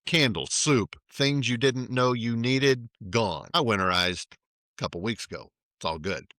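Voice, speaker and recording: A man with a naturally low voice, hosting a tongue-in-cheek conversational monologue. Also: unhurried, sharp tone, no echo, studio quality. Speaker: host. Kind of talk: wry monologue.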